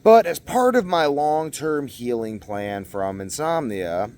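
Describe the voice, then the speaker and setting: A young man talking casually to camera in a rambling, slangy vlog monologue, animated and given to acting out what people said. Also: mid-range voice, slow. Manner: animated, exclamatory, slangy, acts out quotes. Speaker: vlogger.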